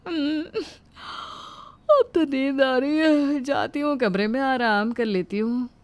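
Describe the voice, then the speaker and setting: A woman's voice: sits high, slow, darkish tone, clear, resonant tone. A woman voicing a lazy, sly young daughter-in-law in a moral story, whining and wheedling with exaggerated excuses. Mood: smug, sly. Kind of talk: animated moral storybook acting.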